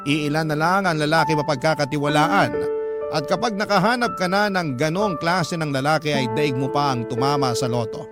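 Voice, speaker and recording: A man reading a scripted first-person story as a radio host and narrator. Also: fairly high pitch. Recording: loud music under the voice.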